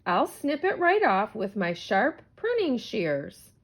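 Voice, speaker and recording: A woman's voice: a little high, big pitch swings, takes it slowly, slightly rough, exaggerated voice. Tutting, fussy storybook florist voiced by a woman. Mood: reassuring.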